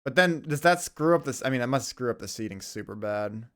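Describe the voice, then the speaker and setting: A man's voice: medium pitch, expressive, a little fast, fairly bright tone. A man doing casual esports commentary, laid-back, laughing often and swearing casually.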